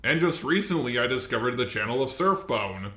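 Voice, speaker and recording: A man's voice: medium pitch, animated, brisk, fairly bright tone, roomy sound. A man hosting an informal scripted commentary video, talking in a blunt, casual way with some swearing. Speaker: host, commentator.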